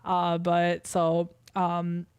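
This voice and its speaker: A young woman casting an esports livestream solo, hoarse from losing her voice, chatty and rambling as she reads out scores and viewer chat. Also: on the low side, monotone, very slow, no room sound, studio quality. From Wisconsin. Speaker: esports caster.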